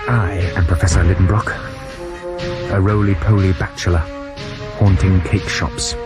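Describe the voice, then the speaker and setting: A deep, low male voice, an actor's, tells the tale of a middle-aged German professor looking back on his life. He narrates in a wry, melancholy radio drama manner, with loud music running underneath. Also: fairly even intonation, normal speed, close to an everyday voice.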